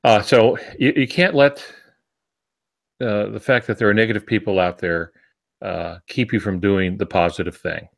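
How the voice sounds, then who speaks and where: A man's voice: lowish voice, fairly even intonation, moderate pace, creaky. An older man co-hosting a casual podcast discussion about writing. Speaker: podcast co-host and writer.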